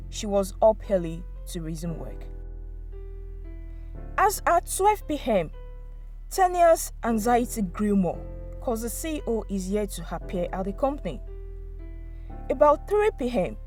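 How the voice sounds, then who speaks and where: Animated female storyteller over faint music. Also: mid-range voice, no room sound. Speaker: storyteller narrator. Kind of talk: scripted dramatic folktale narration.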